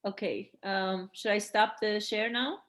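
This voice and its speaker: A woman's voice: medium pitch, fairly even intonation, unhurried, a bit bright. A woman informally hosting an online session over a video call, with a hesitant delivery.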